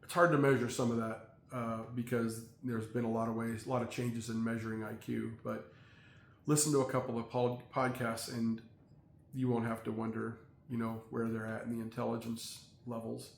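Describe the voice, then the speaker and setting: A middle-aged man talking in a casual, rambling monologue, halting with many fillers and restarts. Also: medium pitch, level tone, gravelly, slight background noise. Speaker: vlogger. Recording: echoey room, faint ambience.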